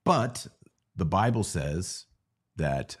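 A man with a low voice hosts a casual live Q&A. He explains things in a didactic way, step by step, posing questions and offering everyday analogies as he goes. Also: lively intonation, drawn-out words, husky. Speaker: podcast host answering Bible questions.

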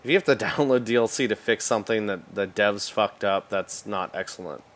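A man with a fairly low voice talks casually, as one of the co-hosts on a gaming podcast. His delivery is conversational and informal. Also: brisk, a bit bright. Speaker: video game podcast co-host. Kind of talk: casual chat.

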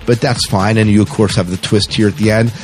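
A man's voice: on the low side, level tone, fairly quick, faint music behind. A man co-hosting a podcast, talking in an informal, rambling, conversational way. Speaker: podcast co-host. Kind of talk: casual podcast chat.

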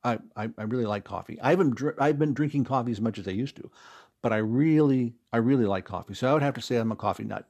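A man answers interview questions in a casual, conversational way. He talks expressively and rambles like a joking storyteller, at an ordinary middle pitch. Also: fast talker, darkish tone. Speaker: interview guest, audio drama writer and actor.

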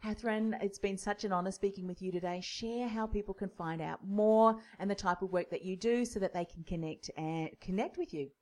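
A woman's voice: mid-range voice, brisk, few pauses, darkish tone. A woman hosting a podcast interview, affirming and chatty. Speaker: podcast host.

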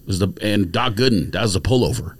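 A man's voice: lowish voice, fast. A man co-hosting a podcast, arguing about sports in a casual, emphatic and insistent way. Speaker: podcast co-host.

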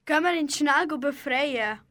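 A woman's voice: sits high, normal speed, fairly bright tone. A young female performer playing a worried, practical girl looking out for a friend, in an amateur radio play with a Swiss German accent.

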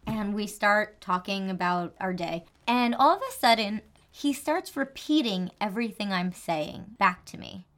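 Bright, lively woman with a Jersey accent. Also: medium pitch, measured pace, hardly any pauses. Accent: New Jersey. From New Jersey. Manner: expressive, lively storyteller. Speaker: online dating coach. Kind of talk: animated storytelling in casual chat.

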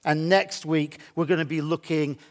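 Male preacher, high-pitched with a light croak. Emphatic sermon delivery, deliberate, leaning on repetition. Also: fairly even intonation. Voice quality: slightly croaky voice. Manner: emphatic, deliberate, repeats phrases for effect. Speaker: pastor. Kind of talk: church sermon.

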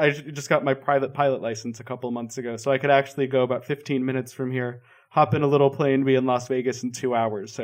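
A man, chatting casually in a playful, storytelling way. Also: mid-range voice. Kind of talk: casual chat.

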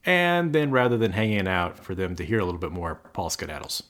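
A man's voice: low-pitched, big pitch swings, fairly quick, fairly bright tone. A man co-hosting a casual faith podcast, explaining scripture at length with joking asides. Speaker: podcast co-host.

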